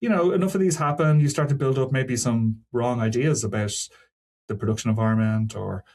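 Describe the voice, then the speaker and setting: A man's voice: mid-range voice, fairly quick, a little mellow. A man speaking as a podcast guest and senior engineer, explaining technical work in an informal, discursive way with frequent asides. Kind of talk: casual technical interview talk.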